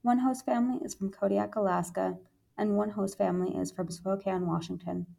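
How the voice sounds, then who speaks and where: Gravelly-voiced woman podcast host. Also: medium pitch, steady tone, brisk, dark tone. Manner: friendly, orderly questions and scripted sign-off. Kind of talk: interviewer.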